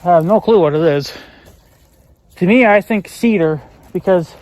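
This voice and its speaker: High-voiced American man musing outdoors. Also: moderate pace, darkish tone, dry recording. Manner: folksy, musing aloud, mild swearing. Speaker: vlogger. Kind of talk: casual rambling outdoor vlog narration. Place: outdoors in rural woods. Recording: faint outdoor background noise. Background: faint outdoor noise.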